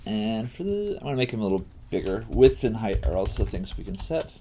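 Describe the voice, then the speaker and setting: A man giving a casual live coding tutorial as a teacher, thinking aloud in a halting way while he works. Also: fairly low pitch, sing-song, dark tone, a little hiss or hum.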